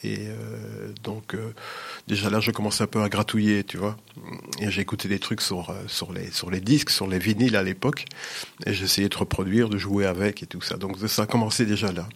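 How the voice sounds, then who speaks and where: Gravelly older man. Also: on the low side, fairly even intonation, a little fast. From Sicily. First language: Sicilian. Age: 60s. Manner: hesitant, many restarts and fillers. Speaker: musician guest. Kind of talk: casual interview talk.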